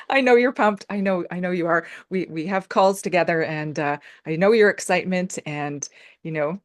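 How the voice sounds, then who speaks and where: An effusive woman hosts a casual chat over a video call. Her pitch swoops widely and she laughs often. The sound is dry and close. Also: very animated, normal speed, no room sound. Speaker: host.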